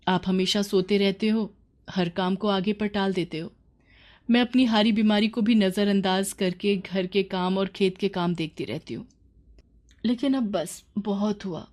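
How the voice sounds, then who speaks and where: A woman's voice: mid-range voice, a little mellow, natural voice. Woman acting a reproachful, fed-up young village wife. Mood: hurt, resolute. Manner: reproachful, emphatic, plaintive. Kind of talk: animated moral story dialogue.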